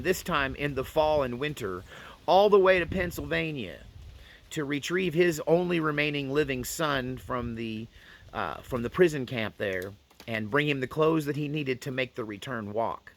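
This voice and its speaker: A man hosts a casual storytime in a voice of middling pitch with a bright, firm edge. He talks without a script in a folksy manner, circling back to repeat phrases so that they land. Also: no echo. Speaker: storyteller and host. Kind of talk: casual first-person storytelling to camera.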